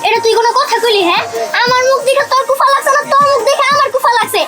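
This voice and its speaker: A woman's voice: extremely high pitch, racing. A woman playing an indignant, whiny, self-excusing young shop assistant in a comic drama, with a Bangladeshi accent. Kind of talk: comic short-drama acting. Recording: loud music behind the dialogue.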